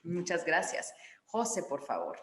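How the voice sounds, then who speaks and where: Low-voiced moderator woman in echoey room. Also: wildly swooping pitch. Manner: courteous, measured, summarizing. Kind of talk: moderating a panel discussion.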